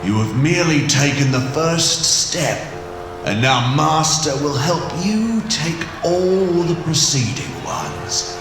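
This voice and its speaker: An actor playing a sadistic, possessed psychopath who taunts his prey in a sing-song, drawn-out way in an audio drama. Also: fairly high pitch, sing-song. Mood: sinister, preaching. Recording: echoing voice under music.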